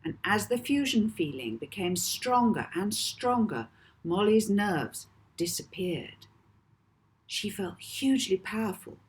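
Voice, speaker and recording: A woman reading a children's novel aloud in a measured, theatrical way with deliberate emphasis. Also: medium pitch. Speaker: author reading own novel. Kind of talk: dramatic audiobook narration of a children's story.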